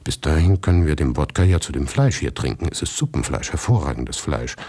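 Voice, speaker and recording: A man's voice: extremely low pitch, very animated, fairly quick, a little mellow, breathy edge, no room sound, voice pushed down, exaggerated voice. A man playing an eccentric, hospitable male friend with an emphatic, declamatory manner. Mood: matter-of-fact.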